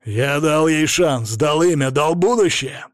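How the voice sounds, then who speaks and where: A man's voice: a little high, measured pace, dry recording, studio quality, pitched above the performer's own voice, lightly acted. A man voicing a domineering, self-justifying older crime boss with an explosive, growling, emphatic delivery in an audiobook. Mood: angry self-justifying. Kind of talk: dramatic audiobook character voice.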